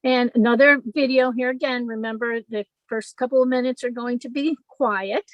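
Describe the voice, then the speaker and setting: A woman's voice: fairly high pitch, fairly even intonation, moderate pace, no room sound, dull audio. A woman presenting a parent-rights webinar, explaining casually with frequent fillers and restarts. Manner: friendly, many fillers and restarts.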